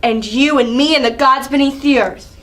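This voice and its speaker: A woman performs a young prince of Greek tragedy, defiant and principled. The voice is fairly high and the delivery cutting, in the style of a dramatic reading. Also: moderate pace, a bit bright. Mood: accusing.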